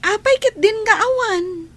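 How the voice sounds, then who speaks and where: A loyal older child protecting a sibling in an Ilocano radio drama. The voice is high and youthful, reassuring, with an Ilocano Filipino accent. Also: female voice, measured pace. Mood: protective.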